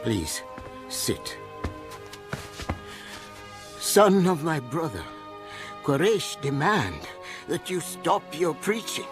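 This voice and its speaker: Casting: an old clan elder, slow, lively inflection, earnest and steadfast. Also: male voice, fairly high pitch. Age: older. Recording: animated film with music behind.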